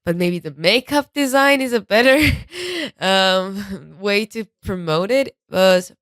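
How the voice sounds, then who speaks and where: Female podcast panelist, medium pitch, casual conversation, dry recording. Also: slow pace, dry recording. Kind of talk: casual chat.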